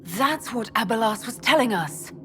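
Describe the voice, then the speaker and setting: A woman voice actor playing a resolute, wary leader in a fantasy video game, speaking earnestly and deliberately. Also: a little high, expressive, fairly bright tone, soft background music. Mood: firm, concerned. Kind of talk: video game dramatic voice acting.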